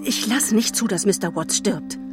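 An actress plays a scared young woman with resolve in a radio thriller. She sounds urgent and anxious, at an ordinary female pitch, with faint music behind her. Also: normal speed, close to an everyday voice. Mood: distressed, defiant. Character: frightened, determined young woman in danger. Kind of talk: German audio drama acting.

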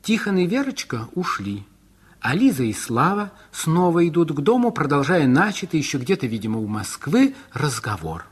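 A man narrating the scene directions of a radio play in a husky voice. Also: on the high side, big pitch swings, normal speed. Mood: storytelling.

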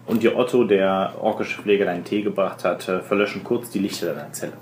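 A man runs a tabletop game with halting, improvised narration. His voice is slightly low. The room is echoey, with clear background noise. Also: fairly even intonation. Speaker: game master.

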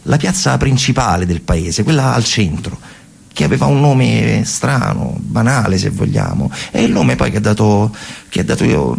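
Male stage storyteller, low husky voice, conversational delivery with deliberate repeats. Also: a little mellow. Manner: conversational, deliberate repetitions and pauses. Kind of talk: theatrical narrative monologue.

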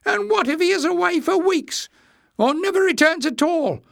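A man voicing a whining, cowardly little schemer in a shrill, squeaky, spluttering storybook voice. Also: animated, moderate pace, vocal fry, fairly bright tone, no room sound, studio quality, full character voice. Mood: sullen complaining. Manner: spluttering, whining, melodramatic pleading. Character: whining, cowardly small male schemer, screechy and wheedling. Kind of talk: acted character voice in audiobook narration.

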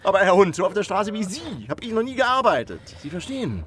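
An actor playing a grand, playful old privy councillor and poet in a German radio play, musing and theatrical. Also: male voice, high-pitched, very animated, brisk. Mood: jovial, boastful. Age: older. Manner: theatrical, musing, swinging from exclamations to murmurs.

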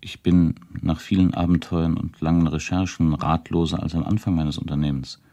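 Very deep-voiced, puzzled researcher. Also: male voice, flat delivery, warm tone. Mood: perplexed, weary. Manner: measured, inquiring. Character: puzzled, persistent male researcher questioning old witnesses. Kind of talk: 1970s German radio drama dialogue.

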